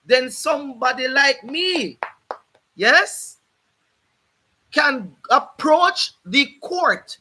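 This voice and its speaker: A hoarse man hosting a livestream, explaining a court ruling in an emphatic, repetitive, lecturing way while addressing his viewers directly. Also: very high voice, sharp tone, poor audio. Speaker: host of a legal rights livestream. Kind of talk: livestreamed legal commentary.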